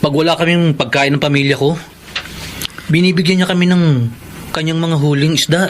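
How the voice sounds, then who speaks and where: A humble, deferential village fisherman played by an actor, with a fairly high male voice. He speaks hesitantly as a radio drama younger brother, with faint noise behind. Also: level tone, fairly quick, close to an everyday voice. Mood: ashamed, hesitant. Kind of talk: Filipino radio drama acting.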